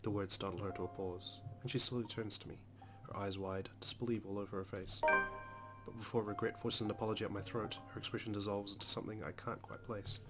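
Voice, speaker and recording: A man's voice: lowish voice, little pitch movement, brisk, loud music behind the voice. A man narrating a visual novel as he plays, reading the story aloud with casual asides.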